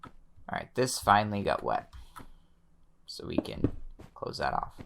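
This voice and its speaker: A young man with a slightly hoarse voice, quietly talking through his gameplay, often pausing and restarting his sentences. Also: fairly low pitch, sing-song, background noise. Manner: thoughtful, pauses and restarts sentences; soft-spoken, many fillers. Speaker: let's play host. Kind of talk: relaxed gameplay commentary.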